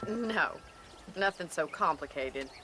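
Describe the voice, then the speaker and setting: A woman's voice: low-pitched, lively intonation, takes it slowly. Well-spoken frontier ranch woman, wry and earnest, western video game, noisy countryside behind. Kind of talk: video game drama acting. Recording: noisy outdoor countryside background.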